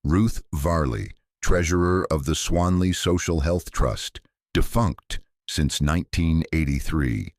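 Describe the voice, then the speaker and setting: A man's voice: extremely low pitch, takes it slowly, no room sound, studio quality, exaggerated voice. A man voicing a dutiful police sergeant in a mystery story, plain and factual in delivery. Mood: matter-of-fact. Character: dutiful, matter-of-fact male police sergeant.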